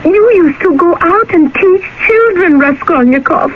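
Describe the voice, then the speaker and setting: A dull, noisy radio drama recording. a scolding woman with a high voice, blunt and mocking. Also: lively intonation, unhurried. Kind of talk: 1970s radio drama acting.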